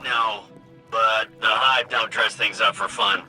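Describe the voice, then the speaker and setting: A man's voice: on the low side, fairly even intonation, slow pace, sharp tone, exaggerated voice, poor audio. A man playing a shifty, wry informant in a video game, hesitant and casual in his talk. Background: faint music.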